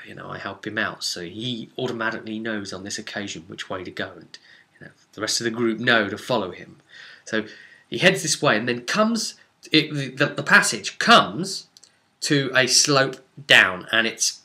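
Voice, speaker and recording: A man's voice: medium pitch, animated, normal speed, bright, forceful tone. A man recounting a tabletop role-playing session as game master, informal and rambling, with descriptive detail and dramatic emphasis on key words.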